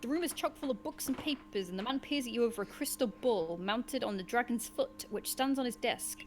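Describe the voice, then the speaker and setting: A woman hosting and narrating an interactive gamebook, mixing expressive storybook reading with casual asides. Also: on the high side, a little fast, slight background noise. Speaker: host and narrator of a gamebook session.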